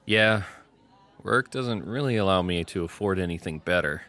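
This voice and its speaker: An actor playing a modest, self-deprecating young programmer in an audio drama, wry and a little hesitant. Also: male voice, low voice, expressive, normal speed, a bit bright. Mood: modest, flustered.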